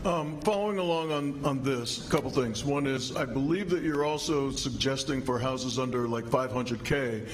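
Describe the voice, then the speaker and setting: A man at a public forum asking a follow-up question, halting and hesitant, with many pauses. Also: mid-range voice, noisy background. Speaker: audience member asking a question.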